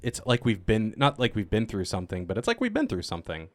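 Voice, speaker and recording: A man's voice: fairly low pitch, wildly swooping pitch, fast, no room sound. A man in casual panel chat with a joking, playful way of talking.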